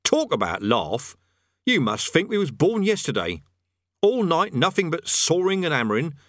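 Man doing a gloating rough army sergeant. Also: a little high, sing-song, moderate pace, fairly bright tone, no echo, clean studio sound, voice pushed up. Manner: coarse colloquial speech, gloating, cheerful menace. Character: smug, rough, garlicky army sergeant, middle-aged.

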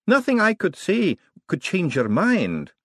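A man's voice: high voice, huge pitch swings, a little slow, breathy edge, dry recording, clean studio sound, pitched above the performer's own voice, heavily acted. A man voicing an elderly wizard academic in an audiobook, with a fussy, courteous, conspiratorial manner. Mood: conspiratorial, coaxing. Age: older.

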